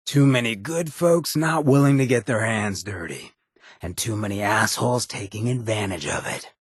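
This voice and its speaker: An actor playing a swaggering, sardonic but loyal male ghoul rebel in a video game, with a very raspy, gravelly American voice and a streetwise, folksy drawl. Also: on the low side, no room sound, clean studio sound, exaggerated voice. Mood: bitter, reflective. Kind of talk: video game companion voice acting.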